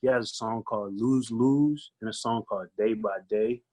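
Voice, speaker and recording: A man's voice: on the low side, moderate pace, warm tone. An American man chatting casually as a podcast guest, with loose, slangy phrasing that often repeats itself. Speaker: guest recording artist. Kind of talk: casual chat.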